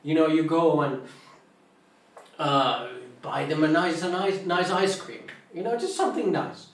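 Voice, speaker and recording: Male Buddhist monk, Finnish accent, halting informal talk, very echoey room, mic at a distance. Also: a little high. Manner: halting, searches for English words. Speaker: Buddhist monk teaching meditation. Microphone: online live stream, distant mic.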